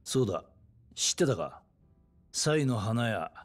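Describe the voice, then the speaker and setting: A weary middle-aged cop, gruff and blunt, average pitch, game cutscene. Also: male voice, sing-song, measured pace, faint music behind. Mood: serious, cautioning. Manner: gruff, matter-of-fact. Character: gruff, world-weary middle-aged police detective, wary ally. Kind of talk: Japanese game cutscene acting.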